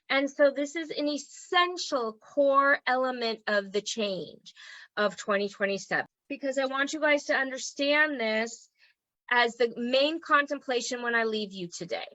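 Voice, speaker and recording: Woman teaching a group online, voice kinda worn out, bright and a bit high, dry muffled sound, keeps saying okay?. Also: moderate pace, no echo. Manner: chatty and encouraging, frequent "okay?" check-ins. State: losing her voice. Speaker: human design teacher.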